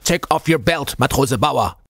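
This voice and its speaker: A man voicing a gruff old navy chief petty officer in an audiobook, harsh but protective, barking commands and scolding. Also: mid-range voice, lively intonation, measured pace, a bit bright, no echo, studio quality, pitched above the performer's own voice, full character voice. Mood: gruff urging. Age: older. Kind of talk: audiobook character dialogue.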